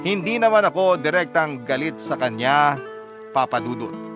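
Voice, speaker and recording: A man's voice: a little high, expressive, moderate pace, music in the background, natural voice. A man playing a proud, sentimental young man who narrates his love story for a radio drama, earnest and reflective. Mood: indignant, earnest.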